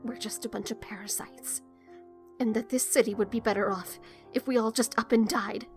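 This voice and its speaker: A woman's voice: on the high side, steady tone, normal speed, soft background music, close to an everyday voice. A woman acting in an audio drama as an earnest, uneasy teenage daughter, hesitant, subdued and confessional. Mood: hurt, indignant.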